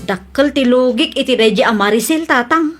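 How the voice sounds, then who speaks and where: A woman's voice: high-pitched, normal speed, soft background music, lightly acted. An actress with an Ilocano Filipino accent playing an aggrieved, plaintive woman shopkeeper in a radio drama. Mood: exasperated. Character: aggrieved woman shopkeeper chasing debts, complaining to her father.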